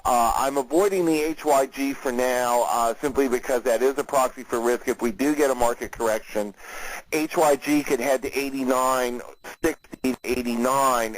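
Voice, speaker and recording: A man with an ordinary mid-pitched voice gives market commentary as an analyst. He talks off the cuff in a measured, conversational manner, often pausing on fillers. The sound is dry, with no room echo. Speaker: market analyst, host of a weekly conference call. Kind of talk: unscripted market commentary for clients.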